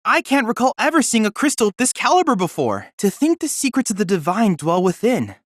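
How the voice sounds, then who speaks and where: An earnest teenage android boy serves as a research assistant in a video game. His delivery is lively, with wide swings in pitch, yet polite, formal and neatly articulated. The sound is dry, with no room around it. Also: fairly quick, no echo, full character voice. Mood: excited, impressed. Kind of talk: scripted video game character dialogue.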